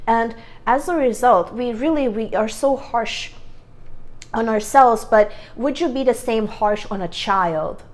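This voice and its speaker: A woman vlogger talking to camera about self-acceptance in an earnest, rambling way, often stopping to rephrase. Her voice sits a little high, and she speaks close to a clip-on microphone. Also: measured pace. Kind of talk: casual self-help talk to camera.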